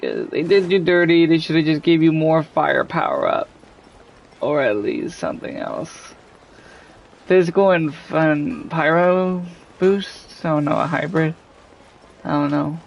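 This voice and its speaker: Highish-voiced man giving gameplay commentary. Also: a little slow, gravelly, highs cut off. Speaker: gaming video host.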